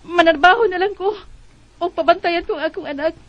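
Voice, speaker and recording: An actress playing a determined young single mother in a Bisaya radio drama, earnest and expressive, with a Cebuano accent. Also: very high voice, fairly quick, no echo, dull audio. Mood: tearful, resolute. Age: young adult.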